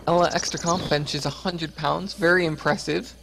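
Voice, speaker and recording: A man's voice: fairly high pitch, fairly even intonation, normal speed, gravelly, background noise. An American man reading livestream chat aloud and chatting casually, with dry joking asides.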